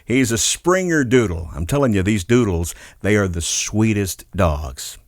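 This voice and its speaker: A man's voice: fairly low pitch, animated, unhurried, dry recording, studio quality. A male host reading a scripted music news update in an upbeat, friendly way.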